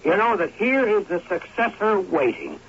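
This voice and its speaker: A man's voice: high-pitched, lively intonation, normal speed, creaky, a bit bright, breathy edge, full character voice. A man acting in an old-time radio drama as a weary, bitter former college athlete in his forties, theatrical and brooding. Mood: brooding, haunted. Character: bitter, weary former college sports star turned adman. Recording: muffled, hissy old radio recording.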